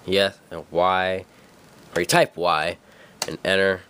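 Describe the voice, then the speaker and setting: A man's voice: sits low, little pitch movement, slow, a bit bright, clean tone. A young man with a stuffy cold, casually talking through a computer tutorial, rambling and correcting himself with many fillers. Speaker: tutorial maker. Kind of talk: casual screen-recorded tutorial. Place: dim room at a computer.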